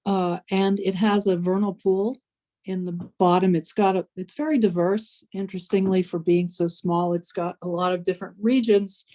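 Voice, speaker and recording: A woman in a group video call, sharing slowly and reflectively. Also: mid-range voice, steady tone, mellow. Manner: slow, unhurried, reflective. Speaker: workshop participant.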